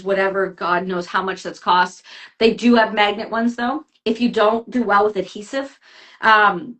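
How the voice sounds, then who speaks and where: A woman working as a health coach, giving advice in a chatty podcast conversation with quick asides. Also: medium pitch, fairly bright tone. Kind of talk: casual podcast chat.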